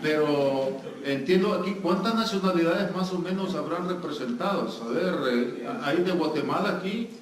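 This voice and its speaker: A man's voice: a little high, normal speed, hardly any pauses, crowd noise. A man with a Salvadoran accent speaking as a guest pastor to a congregation, engaging listeners with questions in a joking manner. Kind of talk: sermon to a live congregation, interactive. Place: church hall with congregation. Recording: echoey hall, distant mic, noisy.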